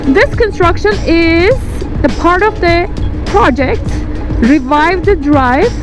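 A woman in her forties from Turkey with a Turkish accent, chatting excitedly to viewers while riding outdoors on a motorcycle. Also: sits high. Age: 40s. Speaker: vlogger. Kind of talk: casual ride commentary.

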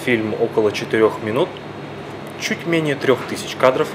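A man's voice: mid-range voice, normal speed. A young man, an amateur animator, giving an interview into a handheld microphone in a foyer. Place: indoor cinema foyer. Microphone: handheld reporter's microphone. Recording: clear background hum and noise.

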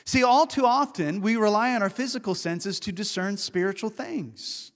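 A male pastor preaching a sermon, asking earnest rhetorical questions and slowing down to stress key words. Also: high voice, a bit bright, in a large hall. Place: church sanctuary.

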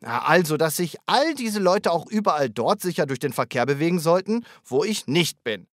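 A man's voice: fairly high pitch, very animated, moderate pace, a bit bright, no room sound, clean studio sound. A man voicing a friendly, shy yet proud talking zebra in a children's audio play, rambling with childlike enthusiasm. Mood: chatty, rambling. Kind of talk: children's audio drama acting.

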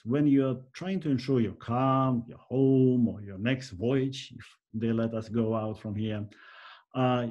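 An older man with a Russian accent talks to his webcam from a room at home. His voice is dark and mellow, but he swings it high and low with sudden bursts of energy as he lectures, gesturing as he goes. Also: highs cut off. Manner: animated, gesturing, lively swings in pitch. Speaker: insurance specialist, channel host. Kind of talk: unscripted talk to camera. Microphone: webcam at desk.

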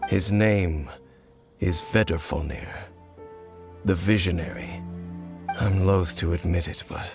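A deep-voiced, brooding man in a fantasy game, a masked wanderer set on vengeance. He speaks gravely and formally, in measured phrases. Music plays behind him. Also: full character voice. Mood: solemn, measured. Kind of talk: dramatic fantasy game voice acting.

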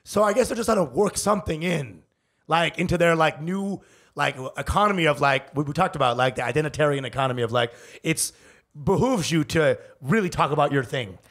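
A man's voice: on the high side, expressive, a little fast, a bit bright. A man in his thirties, co-host of a podcast, who jokes and rambles with many fillers, recorded on a studio mic in a small room. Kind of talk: casual podcast chat. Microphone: studio mic on boom arm with headphones.

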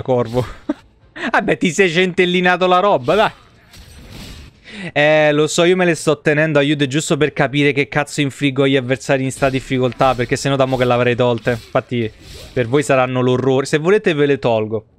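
Clear-voiced guy streaming a game. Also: medium pitch, normal speed. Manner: blunt, sweary, grumbling. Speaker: live streamer. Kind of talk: casual live game commentary, talking to chat. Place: indoor room at home, streaming setup. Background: video game music.

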